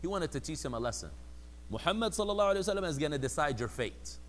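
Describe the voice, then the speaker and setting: A man giving a religious lecture in a relaxed, conversational way, his voice sitting somewhat high. He shifts into quick voices for the people he quotes. A faint hum sits under the recording. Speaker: religious teacher.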